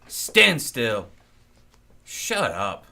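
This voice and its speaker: A man's voice: mid-range voice, wildly swooping pitch, very slow, creaky, sharp tone, heavily acted. An actor playing a hot-tempered, threatening young gunman in an old-time radio drama, barking aggressively. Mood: menacing.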